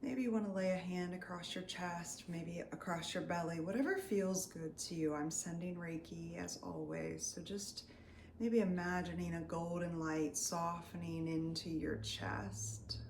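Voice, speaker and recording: A woman leading a guided meditation as a Reiki healer, soothing and unscripted, often drifting into breathy near-whispers. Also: fairly low pitch, fairly even intonation, a little slow. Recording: roomy echo, faint background noise.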